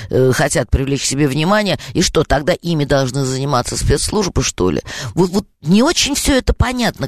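A woman's voice: sits low, no echo. Woman with a moscow accent, radio call-in host, hesitant and stumbling, dry close studio sound. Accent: Moscow Russian. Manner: hesitant, full of drawn-out fillers and stuttered starts.

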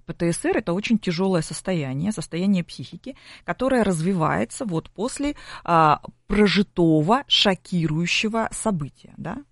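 A woman's voice: on the low side, lively intonation, normal speed, few pauses, no echo, clean studio sound. A middle-aged woman explaining things as an expert guest in an interview, in a didactic way with rhetorical questions. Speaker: psychology expert guest on a broadcast.

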